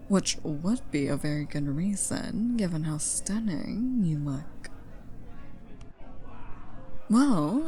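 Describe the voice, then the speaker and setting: Flirty, teasing party charmer played by a woman, unhurried and mellow, playful coaxing for an intimate ASMR roleplay. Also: low voice, slight background noise. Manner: playful, coaxing, drawn-out teasing with breathy asides. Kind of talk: ASMR roleplay, intimate one-sided dialogue.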